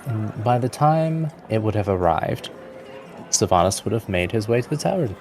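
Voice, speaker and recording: A man narrating a tabletop fantasy game as game master in a measured storytelling manner. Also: fairly low pitch, lively intonation, a little mellow, soft background music.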